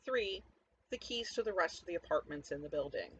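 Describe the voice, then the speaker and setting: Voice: medium pitch, animated, a little fast, a bit bright, natural voice. A performer narrating a first-person story as a cynical, defiant, foul-mouthed zombie apocalypse survivor, sardonic and conversational, talking straight to the listener. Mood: wry, brooding. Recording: dull lo-fi audio, faint background noise.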